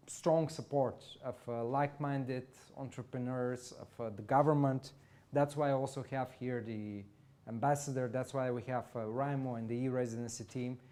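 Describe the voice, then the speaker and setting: A man from Bulgaria moderating a live panel event, speaking earnestly and measuredly with frequent filler pauses. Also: medium pitch, dark tone. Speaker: event moderator, e-resident community board member. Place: event venue, live audience.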